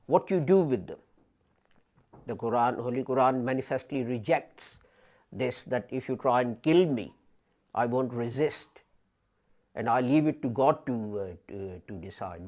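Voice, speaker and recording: A man in his fifties giving a sermon as an imam, speaking deliberately with pauses and rising emphasis, recorded in a small studio. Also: a little high. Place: small studio before a printed backdrop. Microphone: fixed camera, head and shoulders framing.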